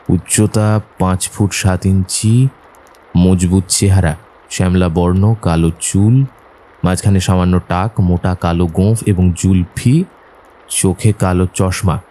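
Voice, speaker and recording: A man playing an earnest, admiring doctor who narrates an audio drama in a measured storytelling way. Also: low-pitched, dark tone. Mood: measured, attentive. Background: faint background music.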